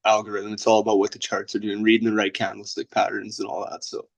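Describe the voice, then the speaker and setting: Gravelly, flat-voiced man from Bosnia. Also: lowish voice, quick pace. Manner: loose, rambling, leans on "you know what I mean" and "right?". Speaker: guest trader. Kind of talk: casual interview over a call. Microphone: video call.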